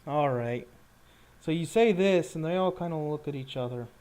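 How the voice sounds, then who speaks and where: A man's voice: a little high, normal speed, darkish tone. A man narrating a tabletop role-playing game as game master, casual and descriptive.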